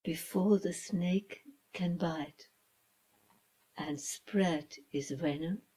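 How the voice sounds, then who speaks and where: A woman reading a children's story as its author, in a dramatic storybook style with hushed, breathy phrases and deliberate pauses. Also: on the low side, rough recording. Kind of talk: slow dramatic storybook narration.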